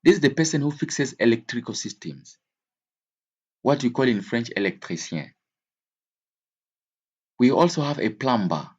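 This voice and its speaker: A man gives a vocabulary lesson in a somewhat husky, mid-pitched voice. He explains terms with simple examples and repeats key words. The recording sounds slightly dull and lo-fi. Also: expressive. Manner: didactic, repeats key words slowly and clearly; switches between English, Swahili and French. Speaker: English teacher.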